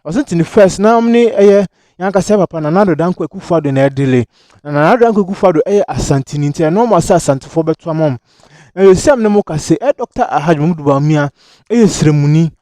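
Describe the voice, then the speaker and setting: A man's voice: a little high, expressive, fast talker, warm tone, dry recording, studio quality. A man with a Ghanaian accent presenting and commenting on political news.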